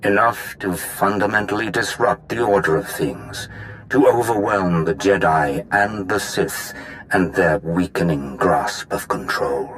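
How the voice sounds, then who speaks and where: A man voicing a menacing, calculating Sith lord in a video game, delivering a measured, portentous monologue on a recorded message. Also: low-pitched, fairly bright tone, slight background noise, rough recording. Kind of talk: theatrical villain monologue.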